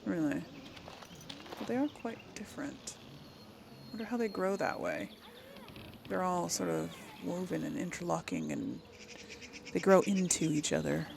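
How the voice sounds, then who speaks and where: A woman's voice: lowish voice, very animated, unhurried, creaky, long pauses, background noise. A woman doing a casual let's play, thinking aloud and reading game dialogue options as she role-plays. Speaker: gaming channel host role-playing a video game.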